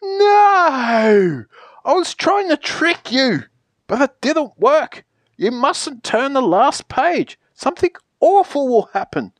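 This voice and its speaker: A woman voicing an exasperated, pleading character in a children's picture book, theatrical and emphatic with drawn-out pleas. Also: lowish voice, sing-song, no echo. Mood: panicked.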